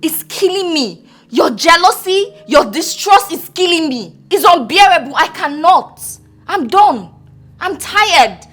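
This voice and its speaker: Fed up hurt wife in a drama, really high voice, emotional and defiant. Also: female voice, expressive, a little slow, a bit bright, heavily acted. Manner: emotional, exasperated. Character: weary, wounded, defiant young wife. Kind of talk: screen drama acting.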